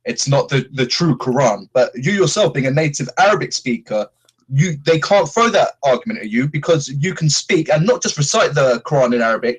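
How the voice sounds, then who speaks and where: A man's voice: a little high, husky, low-quality recording. A man hosting a podcast, asking questions in an easygoing, agreeable way. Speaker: podcast host. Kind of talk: podcast interview.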